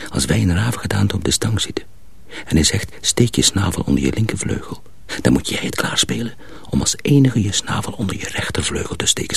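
An actor playing a cautious, secretive young miller's apprentice in a radio drama, husky and often hushed, explaining things deliberately. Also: male voice, low-pitched, no room sound, exaggerated voice. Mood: firm, instructing. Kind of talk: Dutch radio drama acting.

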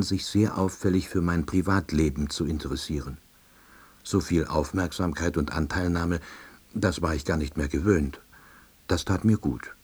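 Self-deluding office-worker narrator, low pitch, husky, deadpan, first-person radio drama. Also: male voice. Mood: wry reflective.

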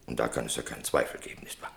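An actor playing a self-important, evasive male merchant in a radio play, lecturing and insinuating, with drawn-out emphasis. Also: fairly bright tone, slight background noise, natural voice. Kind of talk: German radio play acting.

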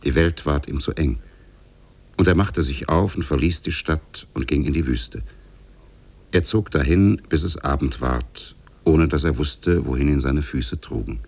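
A man as a solemn storyteller narrating a 1950s radio drama, gravelly and husky. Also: very deep voice, a little mellow, heavily acted. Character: solemn storyteller of Arabian Nights tales.

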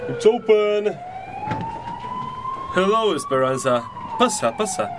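A man's voice: sits high, huge pitch swings, slow pace, heavy background noise, exaggerated voice. An actor playing an easygoing doctor in a radio soap, switching between two languages. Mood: genial, brisk. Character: easygoing male doctor, an English-mixing employer.

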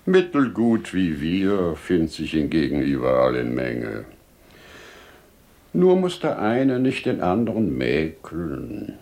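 Deep-voiced, wise older merchant, slow and deliberate. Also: male voice, huge pitch swings, a little mellow, exaggerated voice. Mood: reflective, gently reproving. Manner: theatrical, deliberate declamation with emphatic swells. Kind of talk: 1950s German radio play acting.

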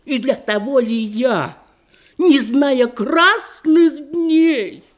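An older actress playing a grumbling, thrifty old widowed aunt in a radio verse comedy, querulous, emphatic and theatrical. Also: female voice, sits high. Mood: indignant, scornful. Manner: querulous, drawn-out, emphatic delivery.

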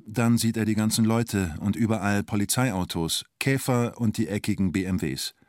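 An actor playing a wry, colloquial storyteller in a radio drama, speaking in clipped present-tense phrases. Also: male voice, on the low side, darkish tone, no room sound, clean studio sound, natural voice. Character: male storyteller recalling the bank siege, wry and colloquial.

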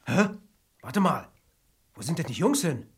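A man reading an audiobook, voicing a young father in a gruff, playful manner. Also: a little high, very animated, measured pace, exaggerated voice. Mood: puzzled. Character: young father, rough and physical.

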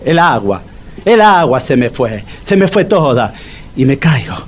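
A man's voice: medium pitch, big pitch swings, unhurried, a faint crowd, slight background noise. An actor playing a desperate, delirious, thirsty man, whining and repeating himself with a Buenos Aires accent. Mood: delirious, fading. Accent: Argentine, Buenos Aires. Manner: whining, repetitive, rambling. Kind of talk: comedic audio drama acting.

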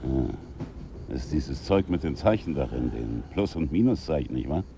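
An actor playing an amiable, curious older man with a gravelly voice in a radio drama. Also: animated, a little slow, mellow, a little hiss or hum, exaggerated voice. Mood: musing, friendly. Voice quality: gravelly, very deep.

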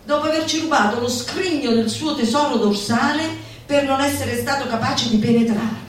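Echoey, high-voiced theatrical woman. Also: slight background noise. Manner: declamatory, mocking, drawn-out emphatic words. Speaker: actress performing a literary monologue. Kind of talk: theatrical recitation of a satirical manifesto. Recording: very echoey room, distant, music behind. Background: music.

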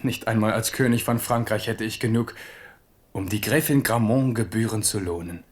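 A man's voice: fairly low pitch, moderate pace, lightly acted. An actor playing a bold, boyish, impulsive warrior king in a theatrical 1970s German radio drama style. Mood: ardent. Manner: theatrical, swinging between hushed and ringing delivery.

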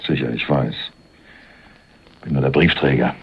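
An actor playing a flirtatious, pushy courier in a German radio crime drama, wry and given to small hesitant stammers. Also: male voice, extremely low pitch, measured pace, breathy edge, full character voice. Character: flirtatious, pushy male courier passing through town. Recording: muffled phone-like old radio drama, faint noise.

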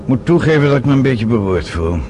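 A man's voice: medium pitch, expressive, fast talker, lightly acted. A man acting a proud, stubborn older businessman with a brusque manner in a Dutch radio drama. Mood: polite, subdued. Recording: old radio drama, faint noise.

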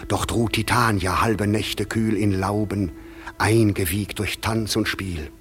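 An actor playing the proud, commanding fairy king in a classic verse radio play, with a measured, stately delivery. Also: fairly low pitch, steady tone, a bit bright, soft background music.

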